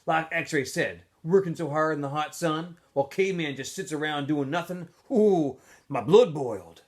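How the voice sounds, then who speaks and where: A man's voice: a little high, moderate pace, voice pushed up, close to an everyday voice. A man voicing a hot-tempered, resentful teenage boy in a storybook reading. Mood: resentful excuse. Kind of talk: storybook character voice.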